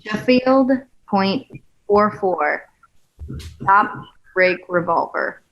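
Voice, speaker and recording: A woman reading screenplay stage directions at a table read in an even, measured way. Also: medium pitch, lo-fi sound.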